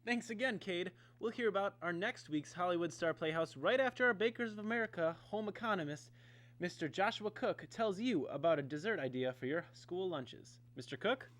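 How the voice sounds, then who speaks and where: A man's voice: sits high, lively intonation, moderate pace, fairly bright tone. A man hosting and announcing in a scripted 1950s radio style. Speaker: radio show host announcer.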